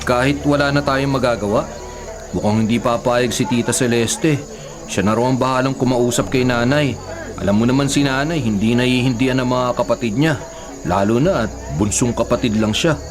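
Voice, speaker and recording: A man plays an earnest young Filipino nephew in a radio drama. He is respectful and matter-of-fact, with a mid-pitched voice and careful, polite delivery. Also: normal speed, music in the background, lightly acted. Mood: worried. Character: earnest, respectful young nephew looking after family land.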